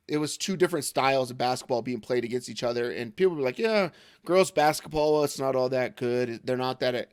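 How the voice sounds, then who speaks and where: A man in his thirties with an American accent, co-hosting a casual sports podcast, hoarse from losing his voice, rambling with lots of fillers and jokes. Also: medium pitch, dry recording, clean studio sound. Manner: rambling, filler-heavy, joking. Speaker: podcast co-host.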